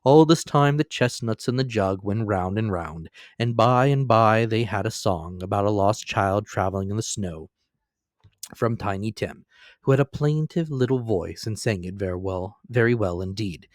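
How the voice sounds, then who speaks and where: A man's voice: on the low side, no room sound, clean studio sound. Man reading a classic story. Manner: measured, theatrical storytelling. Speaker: reader. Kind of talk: dramatic reading of a classic novel.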